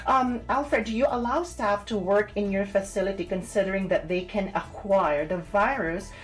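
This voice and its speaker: A woman hosting an interview in a polite, measured, encouraging way. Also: mid-range voice, low-quality recording. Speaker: interviewer, show host. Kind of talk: interview questioning.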